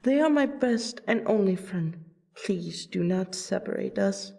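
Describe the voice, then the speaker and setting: A woman playing a courteous royal vampire and loyal friend in a fantasy audio roleplay, with a polite, theatrical, deliberate delivery. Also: mid-range voice, expressive, creaky, dark tone. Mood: pleading, awed.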